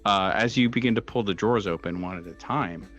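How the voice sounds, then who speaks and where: A man running a horror tabletop game as game master, improvising his descriptions haltingly and searching for words. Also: on the low side, a bit bright. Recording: dull, muffled sound with music behind. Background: background music.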